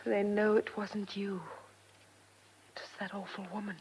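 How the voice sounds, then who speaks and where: A woman's voice: mid-range voice, flat delivery, a little slow, darkish tone, slight background noise, lightly acted. An actress in a radio drama playing a headstrong, devoted young woman in love, urgent and emotional. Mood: tender.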